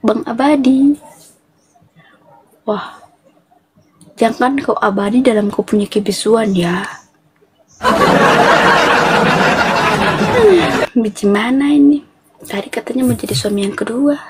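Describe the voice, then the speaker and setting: Teasing high-voiced woman streamer. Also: slow, long pauses, a little hiss or hum. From Toraja, South Sulawesi. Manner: teasing, chatty, laughs often. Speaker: live-stream host. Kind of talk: casual live-stream chat.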